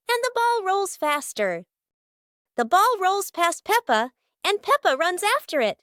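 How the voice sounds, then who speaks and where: Very high-pitched woman narrating children's stories. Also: sharp tone, dry recording, studio quality. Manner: simple, storybook-like delivery in short sentences. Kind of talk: scripted children's story narration.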